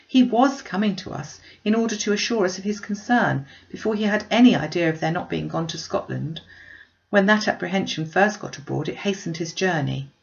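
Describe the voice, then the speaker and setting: A woman voicing a gentle, charitable, troubled young gentlewoman from a classic novel, earnest and measured. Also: medium pitch, creaky, highs cut off, close to an everyday voice. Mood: sympathetic, hopeful.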